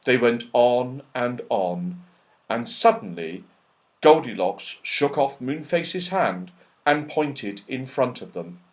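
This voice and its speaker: A man's voice: mid-range voice, big pitch swings, slow. A man narrating a children's story expressively, with dramatic and emphatic phrasing. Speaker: audiobook narrator.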